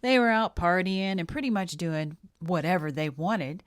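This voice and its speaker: A woman's voice: on the low side, animated, moderate pace, dry recording, clean studio sound. A woman giving blunt, opinionated commentary on body language, sometimes dropping to a whisper. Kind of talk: video commentary.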